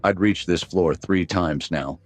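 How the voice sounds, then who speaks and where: An actor playing a weary, cynical private detective in a noir radio drama, talking in a wry deadpan. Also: male voice, deep voice, measured pace. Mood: brooding, wry.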